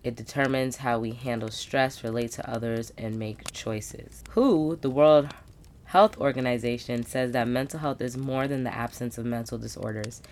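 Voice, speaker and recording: A woman's voice: extremely low pitch, normal speed, darkish tone, slight background noise. A woman in her twenties hosting a solo podcast, talking in a casual, slangy, upbeat way with emphatic asides. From United States. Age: 20s. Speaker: podcast host.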